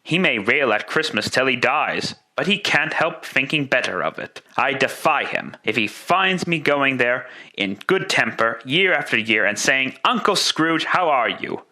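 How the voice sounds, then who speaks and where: A man playing a jovial, good-natured young gentleman with a hearty, animated manner in a classic radio play. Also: medium pitch, measured pace, few pauses, voice pushed up. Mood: cheerful, fond. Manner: hearty, animated, chuckling cheerfulness. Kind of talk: classic radio drama acting.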